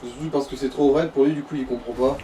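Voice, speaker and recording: A man's voice: medium pitch, fairly even intonation, quick pace, warm tone. A man chatting casually as co-commentator of a video game, giving dry, corrective advice to the player. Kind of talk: casual gaming chat. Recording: distant mic with faint noise.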